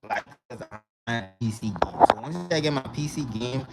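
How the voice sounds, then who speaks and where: A young man from the Bahamas, vlogging in a casual, animated way and often checking in with the viewer. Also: mid-range voice, moderate pace, over loud music. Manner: animated, repeats "you understand?" to the viewer. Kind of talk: casual direct-to-camera chat. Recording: noisy recording with vehicle sounds behind.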